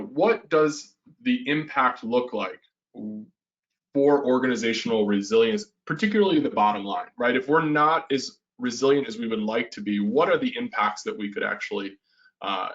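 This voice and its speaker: A man's voice: medium pitch, a bit bright. A man presenting a business webinar in a casual way, full of "uh" fillers, restarts and a tag "right?". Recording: slightly dull webinar audio.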